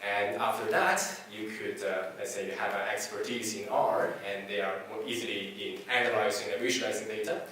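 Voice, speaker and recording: A man whose first language is Chinese gives a technical talk to a meetup audience, speaking haltingly with many fillers and restarts. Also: lowish voice, sharp tone. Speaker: conference speaker. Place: meetup presentation room. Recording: echoey hall from far off, faint hiss.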